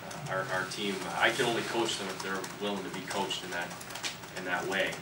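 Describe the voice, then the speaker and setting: An earnest coach in his thirties talks through a postgame press conference, restarting his sentences with repeated words. His low, even voice carries the echo of a room and sits at a distance from the table mic. Loud background noise runs under everything. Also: fairly quick. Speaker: college hockey coach. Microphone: table mic from the camera's distance.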